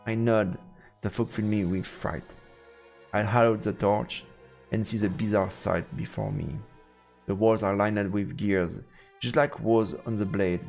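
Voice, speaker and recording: A man narrating a visual novel as a streamer, in a measured, dramatic storytelling style with deliberate pauses. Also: fairly low pitch, steady tone, gravelly, darkish tone. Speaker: let's play streamer narrating. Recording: echoey, long reverb, music behind. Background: background music.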